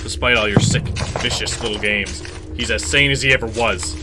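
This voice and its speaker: An actor playing a grim, earnest vigilante superhero in a radio drama, speaking sternly and deliberately with intensity. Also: male voice, lowish voice, heavy background noise, lightly acted. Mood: stern defiance.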